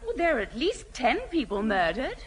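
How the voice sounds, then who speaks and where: An actress playing a brisk, bossy, exasperated older sister in a radio sitcom, with a snappy way of talking. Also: female voice, high-pitched, sing-song. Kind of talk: 1970 radio sitcom acting. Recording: hissy old radio broadcast, reverberant theatre hall.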